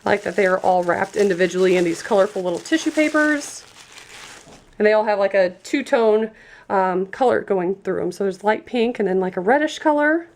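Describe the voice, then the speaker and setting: A woman's voice: medium pitch, brisk. A woman reviewing and unboxing a gift set in a chatty, enthusiastic way, sounding stuffy and congested from a cold. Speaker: product reviewer.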